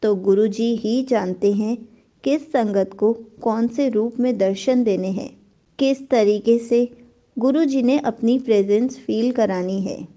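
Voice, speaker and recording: A woman hosting a devotional channel, closing with gentle, appreciative thanks to her guest. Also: a little high, slow. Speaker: channel host.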